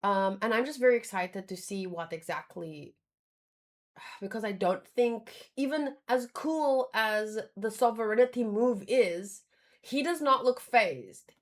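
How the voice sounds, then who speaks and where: Casting: female streamer, fairly high voice, informal reaction commentary with loose, self-correcting delivery. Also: slow. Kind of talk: casual livestream commentary.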